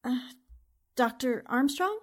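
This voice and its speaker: Plucky, commanding girl reporter for 1940s-style radio drama actress, high, lively voice. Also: slow, exaggerated voice. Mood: groggy, puzzled. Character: brisk, eager, commanding female newspaper reporter.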